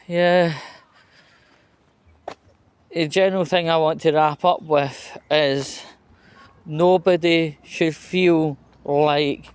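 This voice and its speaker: Slow-talking Scottish man vlogging outdoors. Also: on the high side, smooth, no echo. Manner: rambling, conversational, with frequent fillers and restarts. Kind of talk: casual walking monologue. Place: outdoors at a train station, then in a lift.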